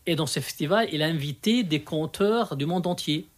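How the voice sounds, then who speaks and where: Higher-voiced Lebanese man telling his experiences. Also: normal speed. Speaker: storyteller, interview guest. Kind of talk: conversational storytelling in an interview.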